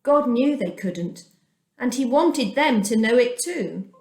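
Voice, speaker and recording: Brief: woman storyteller, higher voice, expressive children's Bible reading, roomy echo. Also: slow pace, soft background music.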